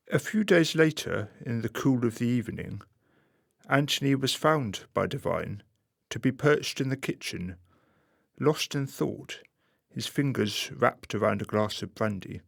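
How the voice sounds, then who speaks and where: A man playing the dryly ironic narrator of a radio play, telling the story in a measured, scripted way. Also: medium pitch, creaky, dry recording, clean studio sound. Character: wry male narrator of a domestic satire.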